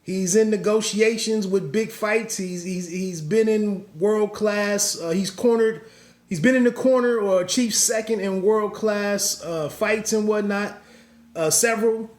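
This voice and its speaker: A man's voice: high voice, reverberant. A man hosting a podcast, giving solo boxing commentary in a rambling way with many restarts and asides.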